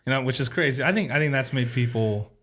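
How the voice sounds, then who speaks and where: A man's voice: medium pitch, quick pace, fairly bright tone. A male podcast host with a mid-range voice chats casually. His talk is easygoing and agreeable, with loose, everyday phrasing. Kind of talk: casual conversational interview.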